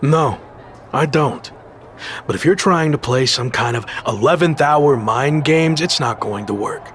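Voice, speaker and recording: A man's voice: mid-range voice, a little slow, fairly bright tone, slight background noise, close to an everyday voice. An actor playing a wary young male wrestling challenger in a video game cutscene, blunt and suspicious. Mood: defiant.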